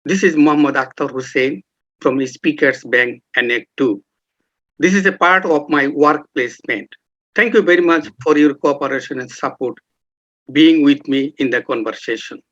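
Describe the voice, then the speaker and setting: Male interviewer with a formal manner and a higher voice; dull, lo-fi recording. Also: normal speed, a bit bright. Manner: formal, repeats acknowledgements like "yes" and "right". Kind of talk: podcast interview questions. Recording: dull lo-fi sound.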